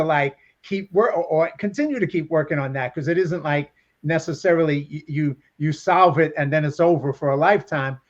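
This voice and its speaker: A man hosting an interview podcast, asking encouraging questions with many restarts. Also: a little high. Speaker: podcast host. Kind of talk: interview conversation. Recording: dull lo-fi sound.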